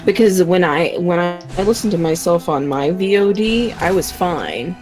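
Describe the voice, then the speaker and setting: A woman streamer chatting casually with co-streamers, quick and animated, with lots of fillers. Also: lowish voice, faint music behind.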